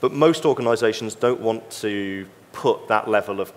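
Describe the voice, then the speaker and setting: A middle-aged man speaking as a consultant in an unscripted conference panel discussion, measured and careful to qualify his points. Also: lowish voice, a little mellow. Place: on stage in a large conference hall. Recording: reverberant conference hall.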